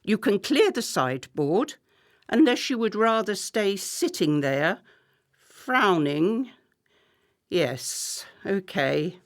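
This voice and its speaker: A woman's voice: medium pitch, sing-song, slow. A woman playing a contemptuous, sarcastic middle-class wife in a radio play, with a cutting, theatrical delivery. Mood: acidic mockery.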